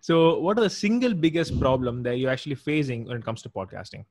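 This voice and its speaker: A man's voice: mid-range voice, expressive, fast, darkish tone, no echo, clean studio sound. Chatty young man hosting a podcast. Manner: chatty, many fillers, frequent affirmations. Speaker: podcast host. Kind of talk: casual interview.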